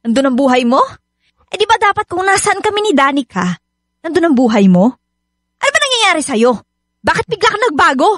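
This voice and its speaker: A woman's voice: high-pitched, very animated, a little fast, long pauses, a bit bright, no room sound, clean studio sound. A Filipino-accented woman acting in a radio drama as a hurt, suspicious young wife and mother who keeps insisting. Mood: confrontational, hurt.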